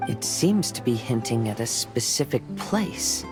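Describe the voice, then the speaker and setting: A woman's voice: very deep voice, big pitch swings, measured pace, music in the background, exaggerated voice. A woman playing a composed, considerate young woman in an anime-style video game, speaking in a thoughtful, formal, restrained way. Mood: pensive.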